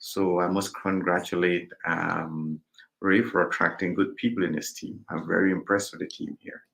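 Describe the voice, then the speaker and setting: A man from Guyana, a guest realtor answering interview questions in a measured, hesitant way with many ums. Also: low voice, little pitch movement, vocal fry, a bit bright, low-quality recording.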